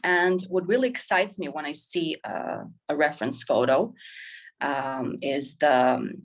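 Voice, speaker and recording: Casting: woman, 30s, lower-pitched voice, reflective interview guest talking informally. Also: a little slow. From Croatia. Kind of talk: informal interview, describing own work.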